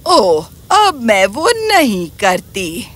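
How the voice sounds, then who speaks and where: A woman's voice: medium pitch, sing-song, a little hiss or hum, lightly acted. A woman voicing a curt, dismissive old witch aunt in a cartoon fairy tale, brusque and grudging. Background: music.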